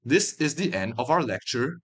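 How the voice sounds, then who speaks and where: A man's voice: mid-range voice, very animated, measured pace, fairly bright tone, husky. A man narrating a language lesson as a teacher, reading phrases out for learners to repeat.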